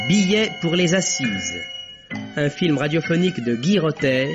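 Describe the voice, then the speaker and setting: A man formally and ceremoniously announcing a program in the style of 1960s French radio drama. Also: on the high side. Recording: dull vintage radio recording. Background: music.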